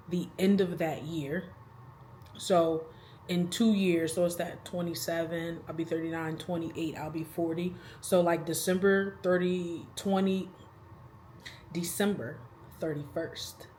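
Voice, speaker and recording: A woman's voice: on the low side, slight background noise. A woman in her thirties talking casually to the camera in a rambling, chatty vlog, laughing between thoughts. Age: 30s. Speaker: vlogger.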